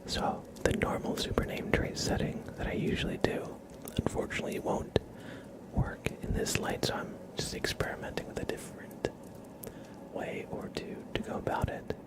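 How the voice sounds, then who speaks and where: A man hosting a casual ASMR livestream, chatting to viewers in a soft, breathy, whispery voice with an unhurried, rambling manner. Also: poor audio. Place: room at home with a window.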